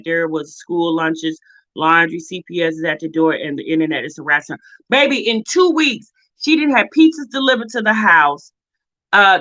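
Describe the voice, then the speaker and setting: A woman's voice: on the low side, bright, forceful tone, muffled recording. An American woman giving casual, opinionated commentary as a reaction video host, blunt and sassy, often exasperated and firing off rhetorical questions.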